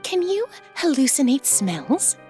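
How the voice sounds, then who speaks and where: Woman playing a high-voiced, spaced-out sweet librarian. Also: very animated, measured pace, dark tone, soft background music. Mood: earnest, curious. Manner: quaint formal diction, dreamy, matter-of-fact. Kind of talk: audio drama acting.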